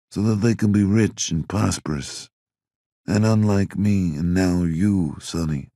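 A man voicing a slow, solemn old crime boss. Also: sits low, dark tone, no echo, studio quality, pitched above the performer's own voice. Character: aging male crime boss, grave and measured.

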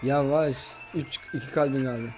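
A man's voice: mid-range voice, fairly even intonation, normal speed. A man chatting casually as a gaming host, bossy as he instructs and complains. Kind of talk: casual let's-play chat with a friend. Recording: music behind the voice. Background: background music.

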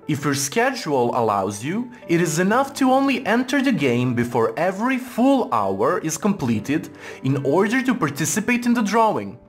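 Man narrating a tutorial with lively intonation. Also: fairly high pitch. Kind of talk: scripted instructional narration. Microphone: voice-over for screen recording.

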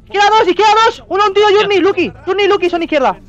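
A male gamer with a strikingly high voice and an accent from Spain talks to his teammates in game. He speaks quickly, and the headset chat sounds dull and boxed-in. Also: fairly bright tone. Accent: Spanish (Spain). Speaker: esports player. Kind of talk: team voice chat during a game. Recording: muffled band-limited team voice chat.

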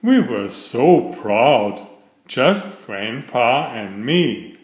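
A middle-aged man reading aloud to young children in a simple storytelling style, with clearly phrased delivery. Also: mid-range voice, lively intonation, very slow. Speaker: children's book reader. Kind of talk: read-aloud bedtime storytelling. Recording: roomy, echoey voice recording.

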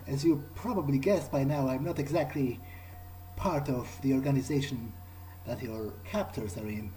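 Male gamer, in-character improvisation, soft mellow tone, mid pitch, deliberate, hesitant, faint background noise. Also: low-quality recording. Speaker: gamer role-playing his character. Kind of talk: in-character improvised game playthrough.

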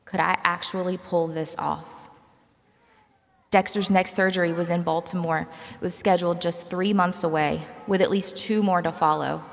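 A woman, giving a measured, prepared commencement speech and telling personal stories from a podium. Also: lowish voice, vocal fry, a little hiss or hum. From South Carolina, United States. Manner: measured, scripted personal storytelling. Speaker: graduate student commencement speaker. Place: large auditorium stage. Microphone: podium gooseneck microphone. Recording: reverberant large hall, podium microphone.

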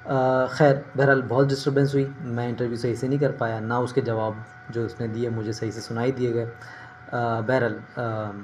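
A middle-aged man explains things informally to the camera at a medium pitch. He speaks in measured phrases with pauses, picked up by a phone in a small room with faint ambient noise behind him. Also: darkish tone, poor audio. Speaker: career advice vlogger. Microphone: phone at arm's length. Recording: noticeable ambient background noise.

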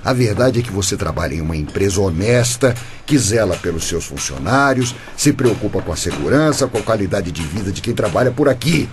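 Radio soap villain, male actor: unctuous Brazilian company boss, insistent, animated delivery, faint noise behind. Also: fairly low pitch, fairly quick, hardly any pauses, lightly acted. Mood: indignant, coercive. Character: manipulative construction boss, falsely fatherly and pushy. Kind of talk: radio soap opera acting.

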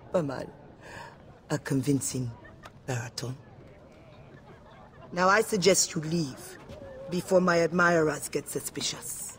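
A woman's voice: deep voice, slow, long pauses, a little hiss or hum. An actress playing a sly, poised society woman, coy and commanding in a game cutscene. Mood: coy, teasing. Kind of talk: video game cutscene acting.

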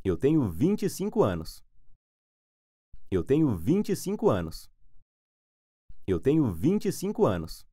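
Male language tutor, very slow and lively in pitch, dry close sound. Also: dry recording. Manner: repeats each phrase three times, clearly and deliberately. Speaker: language teacher. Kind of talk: slow phrase repetition for learners.

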